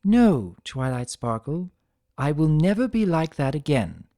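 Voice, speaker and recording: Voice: mid-range voice, very animated, measured pace, dark tone, dry recording, clean studio sound, voice pushed up. A performer voicing an elegant, proud and guarded female unicorn pony in a cartoon fan story, with refined, theatrical and precise diction. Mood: firm, pained.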